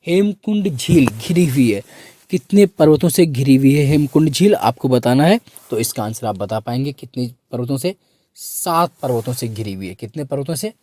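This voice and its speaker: A man with a hoarse, sore-throated voice teaching a quiz lesson for exam students, repeating questions and prompting listeners to answer. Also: mid-range voice, animated, normal speed, warm tone, dry recording.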